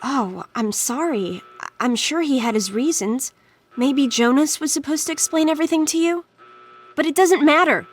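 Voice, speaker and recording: A woman acts out an anxious teenage girl in a video game. Her voice is high and youthful, and she speaks in an earnest, pleading way, like a loyal friend. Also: normal speed, lightly acted. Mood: earnest, sympathetic. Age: young adult. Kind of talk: video game dialogue acting.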